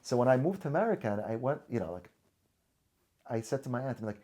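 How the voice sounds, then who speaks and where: Mellow-voiced man telling his story. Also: medium pitch, very animated, fast, a little hiss or hum. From Syria. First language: Arabic. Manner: earnest, with frequent restarts and repeated words. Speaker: podcast guest. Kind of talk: personal storytelling in conversation.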